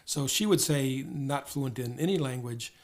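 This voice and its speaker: An older man speaks in a middle-pitched voice, thinking aloud as he answers an interviewer. He stumbles often, restarting phrases and repeating small words before he finds the thread. His tone is reflective and unhurried. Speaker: interview guest, former diplomat.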